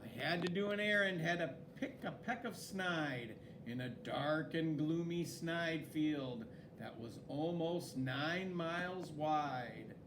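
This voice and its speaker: A man reading a children's story aloud in an expressive, dramatic way, with swooping emphasis. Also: a little high, takes it slowly, hardly any pauses, background noise. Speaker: story reader.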